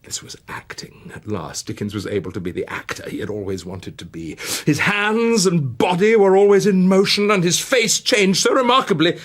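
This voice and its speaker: A British man giving a theatrical scripted biographical narration, dramatic and emphatic, with weighted pauses. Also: high-pitched, very animated, a bit bright. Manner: dramatic, dwelling on words with long emphatic pauses and swells.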